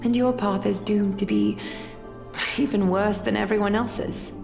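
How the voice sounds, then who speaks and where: A woman playing a solemn, regal mentor in a game, speaking gravely and in measured phrases. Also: mid-range voice, fairly even intonation. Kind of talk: scripted game drama acting. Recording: long echoing reverb, music behind. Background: music.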